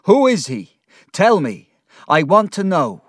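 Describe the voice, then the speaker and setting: A man voicing an anguished, angry older fisherman, shouting and demanding in a told story. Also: high-pitched, big pitch swings, takes it slowly, no echo, clean studio sound, pitched above the performer's own voice, full character voice. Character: Mister Peggotty, fierce anguished older fisherman uncle.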